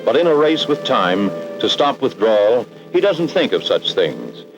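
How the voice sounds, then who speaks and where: A man's voice: medium pitch, big pitch swings, measured pace, dull audio. An American man narrating a 1960s educational film in a grave, ominous, emphatic scripted style, on a vintage film soundtrack. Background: dramatic background music.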